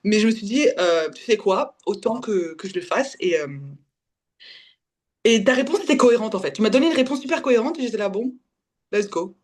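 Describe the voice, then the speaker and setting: A woman's voice: fairly high pitch, animated, a little mellow. A woman in her twenties from Belgium, giving a casual personal account, often correcting herself and slipping in English words. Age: 20s. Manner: halting, self-correcting, slips in English words. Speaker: guest, women's spiritual development guide. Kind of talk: casual personal testimony in conversation.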